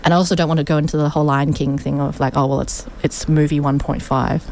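A woman, guesting on community radio, speaking in a notably low voice. She talks in a chatty, reflective way, circling back with plenty of ums and yeahs. Faint noise runs under the studio recording. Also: little pitch movement, quick pace, darkish tone. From Australia, Sydney. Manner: chatty and thoughtful, with many fillers. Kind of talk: casual radio interview.

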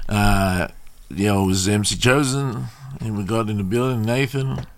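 Laid-back radio host, low voice. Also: male voice, moderate pace. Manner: laid-back, brief encouraging interjections. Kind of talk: casual radio interview. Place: radio studio.

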